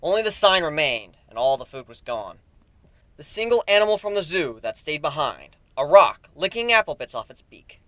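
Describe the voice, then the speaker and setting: A man giving an expressive dramatic reading of a fan fiction story as an amateur narrator. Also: on the high side, moderate pace, no room sound.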